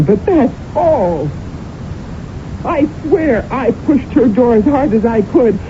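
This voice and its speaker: An actress playing an anguished, defensive, jealous wife in a radio mystery drama, speaking in a theatrical, halting way. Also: female voice, on the high side. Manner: theatrical, halting, emotionally intense. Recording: noisy, narrow-band old radio recording.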